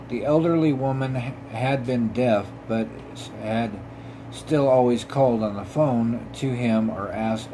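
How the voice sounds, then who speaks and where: A man with a mid-pitched voice narrates paranormal tales as a podcast host. He reads from a script haltingly, tripping on words and starting them again. A clear, steady hum of room noise sits behind him. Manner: halting reading, stumbling and repeating words.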